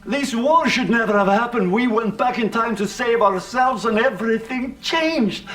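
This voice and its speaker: High-pitched frantic Soviet scientist. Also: male voice, normal speed, fairly bright tone, faint music behind. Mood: frantic pleading. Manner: agitated, pleading, urgent. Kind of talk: video game cutscene acting.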